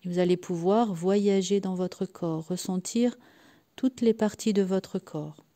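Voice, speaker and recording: Female relaxation guide, dark mellow timbre, soft and at times breathy, very slow soothing delivery with long pauses. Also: mid-range voice, fairly even intonation. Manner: soothing, unhurried, with long pauses between short phrases. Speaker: guided meditation and sophrology practitioner. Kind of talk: guided yoga nidra relaxation.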